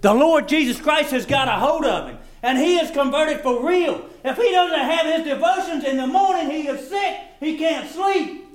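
A man preaching a fervent, folksy evangelical sermon, swinging between shouts and hushed appeals. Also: extremely high pitch, moderate pace, rough recording. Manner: folksy, plain-spoken grammar with rhetorical questions.

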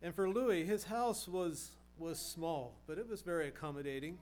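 Male preacher, middle-aged, earnest, rhetorical questions, restarts words, slightly high voice. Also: moderate pace, a little mellow. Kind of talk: sermon.

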